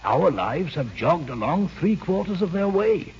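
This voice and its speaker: An old village doctor in a vintage radio play, earnest and persuasive. His highish voice swings very widely in pitch, over a muffled, noisy recording. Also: sing-song, a little slow, a little mellow. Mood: grave reproach. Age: older. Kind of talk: 1930s-40s radio drama acting.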